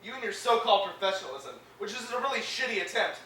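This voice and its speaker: An actor playing a bitter, sarcastic hospital patient, a paralysed sculptor, middle-aged. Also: male voice, sits high, quick pace, sharp tone, slight background noise. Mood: bitter, angry. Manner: sarcastic, biting. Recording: roomy film sound from a distance.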